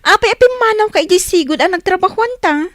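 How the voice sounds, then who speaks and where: A woman's voice: very high-pitched, expressive, quick pace. A woman playing a perky, inquisitive young friend in an Ilocano radio drama. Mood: curious, perky.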